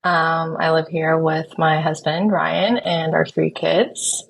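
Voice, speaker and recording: Low-pitched woman from Sweden, noisy recording. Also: little pitch movement, takes it slowly, vocal fry. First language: Swedish. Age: 30s. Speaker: podcast guest, wedding videographer. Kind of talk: casual interview, telling own story.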